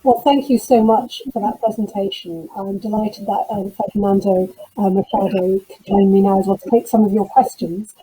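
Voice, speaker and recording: Dark, husky-voiced woman moderator. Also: medium pitch, low-quality recording. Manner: polite and formal. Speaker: session moderator. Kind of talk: hosting a Q&A.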